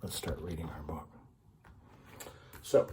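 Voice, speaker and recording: A man's voice: extremely low pitch, flat delivery, slow pace, very noisy. A man hosting a children's story time, patiently explaining things in simple terms in an informal, educational read-aloud style.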